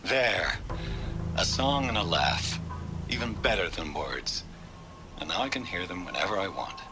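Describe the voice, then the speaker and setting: Doting tinkerer father, lively intonation. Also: low voice, a little slow. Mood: tender. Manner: gentle, coaxing. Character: doting father, a tender tinkerer with a new gadget. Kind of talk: video game audio-log acting. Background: background music.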